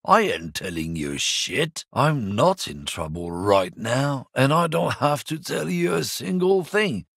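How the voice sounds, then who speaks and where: An actor playing a surly, hostile lorry driver in a video game, sneering and swearing constantly, with a husky voice. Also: male voice, medium pitch, big pitch swings, slow pace, few pauses, fairly bright tone, no room sound, exaggerated voice. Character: surly bigoted male lorry driver, middle-aged, hostile. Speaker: game character. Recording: dry close studio recording.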